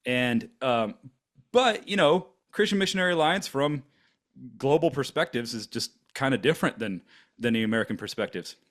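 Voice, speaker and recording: A man's voice: medium pitch, expressive, normal speed, a bit bright. A man in his forties from Germany, whose first language is German, telling his story on a podcast in a rambling way with many fillers and restarts. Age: 40s. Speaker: podcast co-host. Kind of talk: casual podcast conversation.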